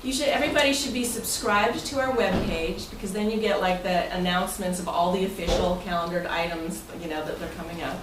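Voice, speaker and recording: A woman's voice: fairly low pitch, brisk, hardly any pauses. Distant, roomy-sounding woman presenting informally. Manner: chatty, rambling, loosely phrased. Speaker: club president. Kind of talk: informal presentation to club members. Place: room at a club meeting. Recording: roomy, noisy recording from far off.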